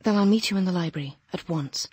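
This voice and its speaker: An actress playing a brisk, commanding woman in a radio drama, insistent and direct. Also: fairly low pitch, darkish tone, slightly rough, no echo. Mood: urgent. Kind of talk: British radio drama acting.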